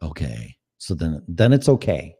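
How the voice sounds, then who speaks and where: A man's voice: rumbling low, big pitch swings, measured pace, dark tone, slightly rough. A man with a Chicago accent hosting a solo podcast, ranting in a blunt, sarcastic and profane way. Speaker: podcast host.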